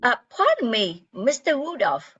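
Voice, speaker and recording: Woman as a prim, fussy, slow-spoken reindeer. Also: a little high, wildly swooping pitch, fairly bright tone, slightly rough, heavily acted. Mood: prim, disapproving. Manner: prim, formal, precise. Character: poised, proper, fussy reindeer who demands politeness. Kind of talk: amateur radio play acting. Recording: slightly dull lo-fi sound.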